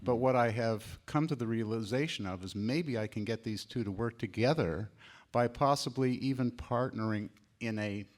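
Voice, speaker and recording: A man's voice: medium pitch, moderate pace. A man from California sharing at a seminar, earnest and reflective, with many uhs. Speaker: seminar participant.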